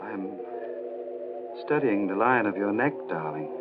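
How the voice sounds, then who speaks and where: A man playing a brooding, jealous, quietly mad sculptor in a 1940s radio horror drama, halting and intense. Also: fairly low pitch, lively intonation. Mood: quietly menacing. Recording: muffled 1940s radio recording, music behind.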